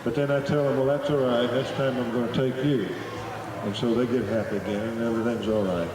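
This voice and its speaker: A man's voice: mid-range voice, steady tone, a little fast. Folksy man on a festival stage. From Oklahoma. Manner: folksy, joking storyteller. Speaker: flute performer addressing a festival audience. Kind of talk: live stage talk with folksy humor. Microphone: stage PA microphone. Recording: echoey live recording from far off. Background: festival crowd.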